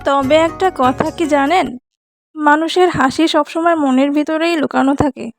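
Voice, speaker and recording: A woman playing a gentle, respectful young maid who coaxes her princess in a cartoon fairy tale. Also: high-pitched, measured pace, vocal fry, soft background music. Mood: concerned. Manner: coaxing, respectful.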